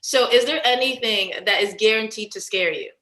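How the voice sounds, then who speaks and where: A woman's voice: on the high side, moderate pace, a bit bright. A woman doing a casual, chatty entertainment interview, using informal, slangy phrasing. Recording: roomy, echoing sound.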